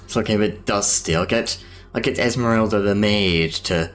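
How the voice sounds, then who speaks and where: A man's voice: fairly low pitch, moderate pace, fairly bright tone, slight background noise. A man with a Southern English accent chatting casually as a streamer while he paints, rambling and self-deprecating with lots of fillers. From Southern England.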